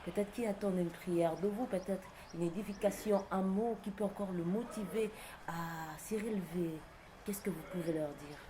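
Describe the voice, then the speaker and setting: A woman's voice: on the low side, moderate pace, background noise. A woman interviewing a guest, asking questions and following along with frequent short acknowledgments. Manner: attentive, with frequent "mhm" and short echoes.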